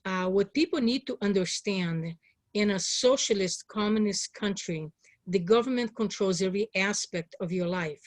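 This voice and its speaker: A woman's voice: medium pitch, moderate pace, no room sound, clean studio sound. A woman in her sixties, from Hungary, giving earnest, emphatic personal testimony as a political candidate being interviewed. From born in Hungary. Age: 60s.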